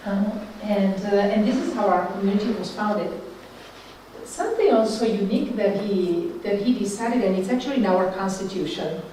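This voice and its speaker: A woman's voice: mid-range voice, moderate pace, mellow. A middle-aged woman from Northern Italy with an Italian accent, a religious sister speaking informally and conversationally to a group. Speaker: religious sister giving a talk. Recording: very echoey room, distant mic, faint noise.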